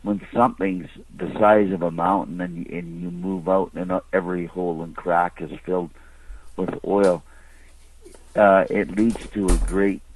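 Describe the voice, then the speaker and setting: A man calling in as a private investor, asking halting, rambling, speculative questions. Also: sits low, expressive, vocal fry, a little hiss or hum. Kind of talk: unscripted caller question. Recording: distant phone line into conference call.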